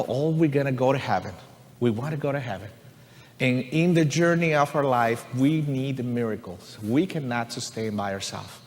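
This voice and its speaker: A man from Venezuela, a church musician giving an earnest, conversational personal testimony to a congregation, often saying "you know" and "right?". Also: mid-range voice. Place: church sanctuary. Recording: long reverb of a large church hall.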